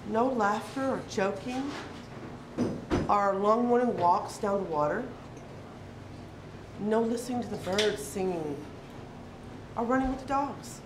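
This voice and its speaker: A woman giving a dramatic reading of a literary piece, deliberate, with pauses between short phrases. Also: on the high side. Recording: echoey room with background noise.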